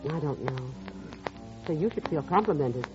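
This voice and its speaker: A woman's voice: low voice, animated, normal speed, over music. An actress playing a wistful, easily flustered middle-aged mother in a classic radio drama. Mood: guarded, uneasy. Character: mature widowed mother, flustered, wistful and guarded. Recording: dull lo-fi old radio recording.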